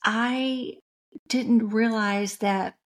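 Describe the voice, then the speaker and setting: Woman, middle-aged, soft voice, slow, flat, interview guest. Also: fairly high pitch. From West Tennessee. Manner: unhurried, with pauses, fillers and restarts. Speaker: interview guest, music industry coach. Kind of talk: reflective conversational storytelling.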